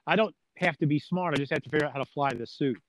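A man's voice: fairly high pitch, fast talker, slight background noise. A man talking casually as an interview guest, folksy and plain-spoken, with a joking streak. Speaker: sports play-by-play announcer as interview guest.

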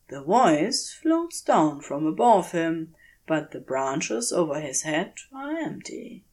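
A woman reading a story aloud as an expressive narrator, with measured, dramatic phrasing. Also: on the low side. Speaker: fan fiction podfic reader.

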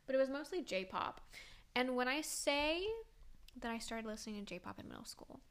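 A woman's voice: on the high side, animated, normal speed, fairly bright tone, smooth. A young woman talking casually to camera with a stuffy, congested nose, rambling and filling her talk with "like". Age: young adult. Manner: rambling, full of "like", laughs often. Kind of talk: casual vlog chat.

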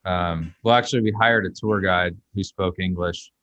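Low-voiced man chatting as host. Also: steady tone, normal speed, sharp tone, no echo. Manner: chatty, storytelling with fillers. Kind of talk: casual conversation.

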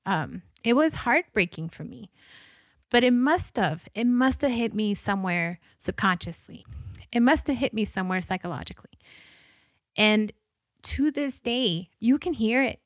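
A woman's voice: fairly high pitch, expressive, normal speed, no room sound, studio quality. Earnest woman with a faint Guatemalan accent. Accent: slight Guatemalan Spanish. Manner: earnest, reflective, mixes in Spanish phrases. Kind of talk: personal monologue.

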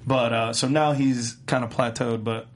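A co-host talking through a show's plot in a measured, thoughtful way. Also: mid-range voice, fairly even intonation. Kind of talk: casual discussion.

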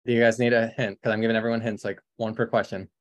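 A man's voice: fairly low pitch, level tone, fast, no echo. A man hosting a casual online quiz game, upbeat and joking as he gives hints. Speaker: quiz host.

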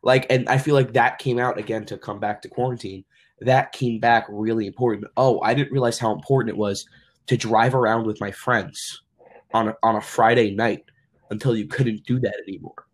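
A man's voice: mid-range voice, steady tone, moderate pace. A young man hosting a casual podcast, rambling through his thoughts with lots of "like" fillers. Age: young adult. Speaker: podcast host. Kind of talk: casual chat.

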